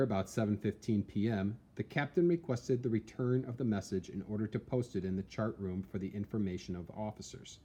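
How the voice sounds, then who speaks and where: Mellow male narrator, dramatic storytelling. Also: mid-range voice. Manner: measured, theatrical storytelling. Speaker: reader, narrator. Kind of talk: dramatic scripted narration of a historical account.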